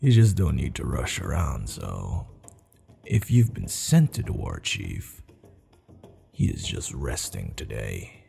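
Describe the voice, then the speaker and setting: A man playing a proud, teasing, commanding barbarian warchief in an ASMR roleplay, gravelly and measured, with formal phrasing. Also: extremely low pitch, warm tone, heavily acted. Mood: amused, sly. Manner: slow, measured, stern yet amused, formal phrasing.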